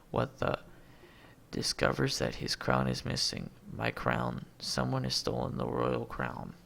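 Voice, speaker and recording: A man's voice: lowish voice, gravelly, fairly bright tone. A man reading a movie script aloud as an amateur, announcing names and stage directions and stumbling over words.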